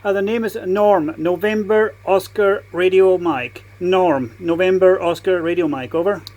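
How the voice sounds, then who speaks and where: A man's voice: high-pitched, a little slow, very noisy. A man with a New Zealand accent making friendly, informal amateur radio calls with call signs and phonetic spelling.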